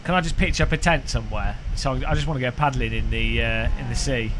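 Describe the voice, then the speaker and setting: A man's voice: medium pitch, fairly quick, noisy background. A man, chatting casually on a sim racing live stream, rambling and joking with his chat. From United Kingdom. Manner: rambling, joking, frequent restarts.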